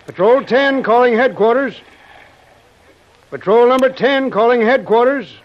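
A man's voice: extremely high pitch, unhurried. A man playing an eager, gallant patrol sergeant in old radio drama style. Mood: brisk, official. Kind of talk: 1940s radio acting. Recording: dull old radio recording.

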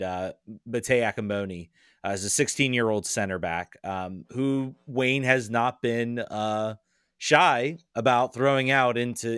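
A man giving casual soccer analysis as a podcast guest, halting with many pauses and restarts. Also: on the low side, clean tone, no room sound, studio quality.

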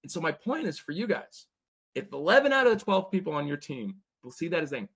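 Fast-talking husky man, energetic guest. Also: fairly high pitch. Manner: energetic, animated storytelling. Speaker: guest, sports team owner. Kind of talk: casual interview talk.

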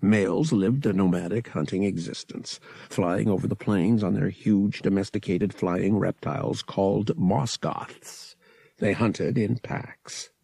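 A man reads scripted fiction as a dramatic audiobook narrator. His delivery is unhurried with wide swings of pitch, and some gravel sits in the voice. The recording is dry. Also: mellow, dry recording.

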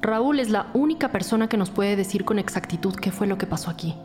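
A mid-pitched woman detective, played by an actress, takes charge in a businesslike, decisive way. This is scripted crime podcast drama, and music is clearly heard behind her. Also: animated, a little fast, a little mellow, natural voice. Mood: determined. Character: female lead police detective, businesslike, decisive and dedicated.

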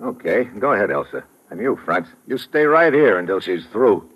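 An actor playing a brusque, hard-boiled American investigator who also narrates an old-time radio drama. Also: male voice, on the low side, sing-song, normal speed, muffled recording. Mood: skeptical. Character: tough, wry, slangy male investigator and narrator. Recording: noisy vintage radio recording with clicks.

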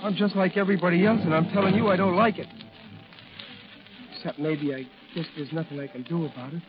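A man acting a gruff but sincere American Marine in an old radio play. His voice sits fairly high and he talks in blunt, halting, working-class phrases. The broadcast is muffled, with music clearly underneath. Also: fairly even intonation, natural voice. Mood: earnest, weary. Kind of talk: 1940s radio drama acting.

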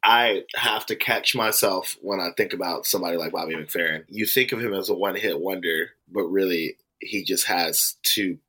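A man's voice: low-pitched, normal speed, hardly any pauses, sharp tone, low-quality recording. A man co-hosting a casual music podcast, talking about musicians he works with and laughing often. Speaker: podcast co-host. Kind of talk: casual chat.

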